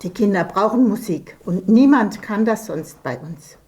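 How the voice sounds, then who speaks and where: A woman's voice: medium pitch, animated, measured pace, lightly acted. A woman as a pleading school teacher. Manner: pleading, warm-hearted. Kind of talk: amateur church nativity play.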